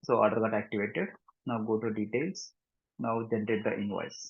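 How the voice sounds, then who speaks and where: Husky Telugu man teaching software, dull audio. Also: lowish voice, drawn-out words. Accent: Indian, Telugu. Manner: instructional, repeatedly checking understanding with short questions. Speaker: software trainer. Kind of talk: step-by-step screen-share tutorial, mixing two languages. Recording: dull, roomy lo-fi screen recording.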